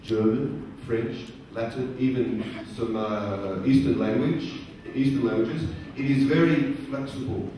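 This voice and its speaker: A middle-aged man speaking to a live audience at a career event, using slow, simple, repeated phrasing and asking the crowd questions. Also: medium pitch, mellow. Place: large conference hall. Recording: very echoey hall, distant voice, faint noise.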